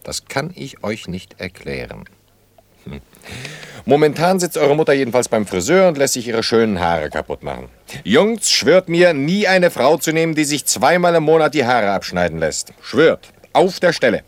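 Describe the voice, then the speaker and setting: A man's voice: mid-range voice, huge pitch swings, moderate pace, no room sound. An actor playing a wry, teasing man who acts out the part of husband and father in an intimate radio drama. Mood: wistful, mock-stern.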